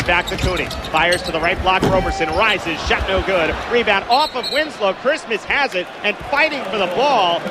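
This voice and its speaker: A young adult man calling live sports play-by-play as the announcer. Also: fairly high pitch. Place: sports venue commentary position. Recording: very noisy live sports venue broadcast.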